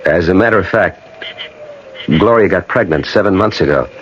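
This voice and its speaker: A restless, earnest advertising man in a radio drama. The voice is lowish and rough-edged, with dramatic, strongly varied intonation. It comes through on a muffled old broadcast recording. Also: animated, moderate pace, a little hiss or hum. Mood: friendly, guarded. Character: restless, brooding advertising man hunting his family's past. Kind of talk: 1980 radio drama acting.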